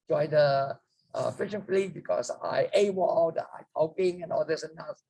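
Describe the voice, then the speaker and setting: A man, telling his life story to a group, rambling and halting with many fillers and restarts. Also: fairly high pitch, creaky, breathy edge, poor audio. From China. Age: 90s. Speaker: guest speaker. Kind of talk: storytelling memoir.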